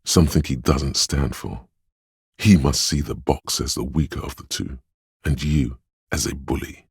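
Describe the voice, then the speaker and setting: A perceptive inner voice of empathy in a narrative game. The voice is profoundly deep and very husky, with a velvety weight, recorded close and dry. It narrates with solemn, intimate compassion in measured phrases. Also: male voice, fairly even intonation, no echo, full character voice. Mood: calm, pleading. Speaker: game voice actor. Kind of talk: scripted second-person game narration.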